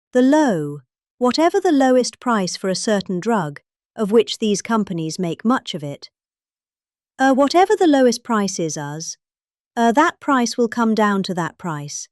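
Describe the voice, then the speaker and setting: British female, middle-aged, theatrical comic speech reader with highly varied intonation, heavy emphasis and dramatic pauses, very dry recording. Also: a little mellow, no echo. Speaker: performer reading a political speech. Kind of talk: dramatic comedic reading of a speech.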